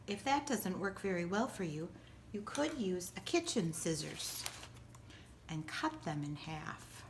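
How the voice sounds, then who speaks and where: A woman giving a step-by-step cooking demonstration like a teacher, with simple, clear directions. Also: mid-range voice, background noise. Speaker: school teacher presenting a recipe.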